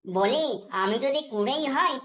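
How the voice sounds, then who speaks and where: A woman voicing a nagging, suspicious housewife in a comedy cartoon, scolding and sarcastic, quick to take offence. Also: high voice, lively intonation, fairly bright tone. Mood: accusing, jealous.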